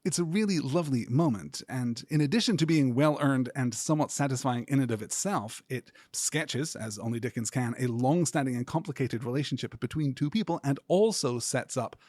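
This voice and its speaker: Podcast guy doing a bookish lecture, measured and earnest, kinda husky, dry close sound. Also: medium pitch, a little mellow, no echo. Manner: articulate, measured, earnest, academic. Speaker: podcast host. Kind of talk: scripted literary lecture.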